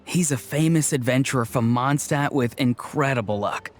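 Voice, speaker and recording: A man's voice: medium pitch, moderate pace, natural voice. A man playing an earnest, committed young adventurer in a video game, matter-of-fact and sincere. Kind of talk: animated video game acting. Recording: faint game music under the voice.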